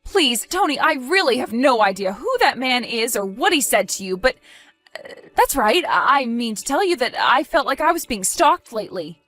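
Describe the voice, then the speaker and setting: Audio-story role: desperate, evasive wife played by a woman, very high voice, animated swings, pleading and defensive. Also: moderate pace, soft background music. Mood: flustered, defensive. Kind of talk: acted audio story dialogue.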